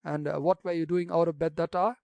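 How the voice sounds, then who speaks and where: A man's voice: on the high side, little pitch movement, fairly quick, creaky, a little mellow, dry recording. A man voicing a stern male rector who speaks severely and cuttingly.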